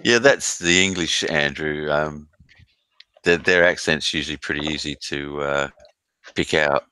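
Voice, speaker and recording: A man hosting a casual live stream chat, relaxed and bantering. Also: rumbling low, unhurried, clear, resonant tone, no echo.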